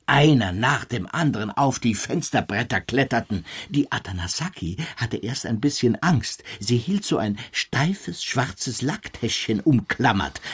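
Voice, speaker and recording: Eccentric husky man, swooping intonation. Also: mid-range voice. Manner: rambling, enthralled, drops into whispers. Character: eccentric, insistent male witness, dreamily enthusiastic storyteller. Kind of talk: radio play acting.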